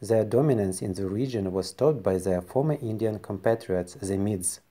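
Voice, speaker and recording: A Ukrainian man with a Ukrainian accent, speaking English as a second language and narrating a history video carefully and deliberately. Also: lowish voice, warm tone. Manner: careful, deliberate non-native delivery.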